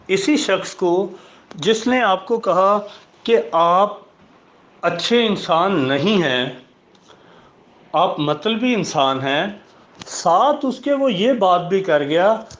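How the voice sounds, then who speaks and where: A man with a notably high voice teaches in an informal, explanatory style. He sets out questions and then answers them, slipping between Hindi-Urdu and English at a slow pace. The recording sounds dull and lo-fi. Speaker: law lecturer. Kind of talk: informal explanatory lecture with everyday examples.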